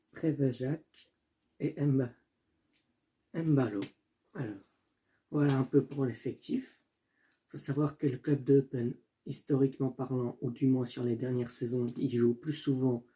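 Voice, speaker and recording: Young guy with a really dark mellow voice talking football, keeps correcting himself. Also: medium pitch. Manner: talks as he thinks, with restarts and self-corrections; stumbles over names and apologizes. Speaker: football video host. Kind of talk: casual solo commentary.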